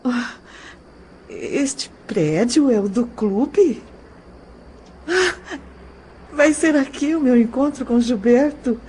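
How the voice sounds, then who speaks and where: A woman's voice: a little high, darkish tone, slight background noise. A woman playing a lovesick, delirious young woman in an audio drama, emotional and pleading for her love. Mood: dreamy longing. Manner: breathy sighs, tearful emotional outbursts.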